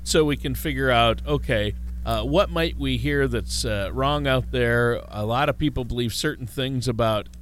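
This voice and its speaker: A man's voice: mid-range voice, normal speed, hardly any pauses, slight background noise. A man co-hosting a radio show in a studio, bantering and joking in a casual way. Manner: jokey, lively banter. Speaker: radio co-host.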